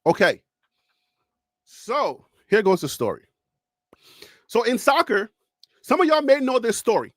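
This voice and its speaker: Chatty storytelling sports streamer. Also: male voice, extremely high pitch, sing-song, unhurried, pauses often, a bit bright, dry recording, studio quality. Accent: Liberian. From Liberia. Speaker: host. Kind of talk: live-stream sports talk. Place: home studio.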